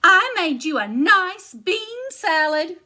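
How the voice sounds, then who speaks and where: A woman voicing a bossy giant wife who scolds in a shrill voice. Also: big pitch swings, slow pace, sharp tone, full character voice. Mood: scolding.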